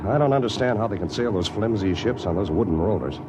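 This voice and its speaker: A man's voice: fairly low pitch, expressive, quick pace, natural voice. An actor playing an earnest, cautious crewman on a space expedition, in 1950s radio drama style. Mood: calm. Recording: old radio recording, faint noise.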